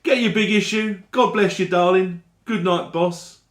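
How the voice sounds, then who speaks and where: A man playing a cheery street seller in a dramatic monologue, pitched up and projecting over a roomy echo. He is a forgiving working man with chatty, folksy patter. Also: measured pace.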